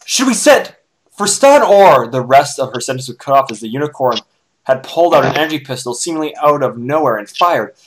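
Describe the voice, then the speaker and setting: A man's voice: mid-range voice, fast, poor audio. A man doing a casual dramatic reading of fan fiction, with joking asides.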